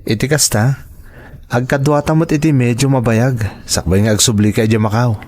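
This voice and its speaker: Casting: male actor as devoted young Filipino suitor for radio drama, earnest and reassuring, medium pitch, dry recording. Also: steady tone, normal speed, darkish tone, no room sound, close to an everyday voice. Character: devoted young man in love, persuading his mother. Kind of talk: Ilocano audio drama acting.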